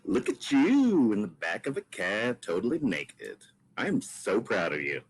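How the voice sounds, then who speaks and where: A man's voice: lowish voice, wildly swooping pitch, measured pace, husky, heavily acted. A man reading a supportive, teasing best friend at a comedy table read. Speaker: guest reading all the extra parts.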